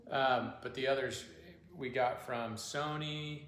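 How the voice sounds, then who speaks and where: A man's voice: mid-range voice, steady tone, slow pace, a bit bright, slight background noise. A man co-hosting a casual livestream, rambling and reading out viewer comments. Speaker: singer and co-host. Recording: echoey room.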